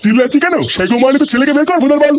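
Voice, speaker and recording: A very high-pitched, rapid-fire cartoon father, brash and foul-mouthed, always picking a fight. There is faint noise behind the voice. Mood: furious, threatening. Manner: brash, threatening, confrontational. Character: furious, foul-mouthed village father out for revenge. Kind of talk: Bengali comedy cartoon dubbing.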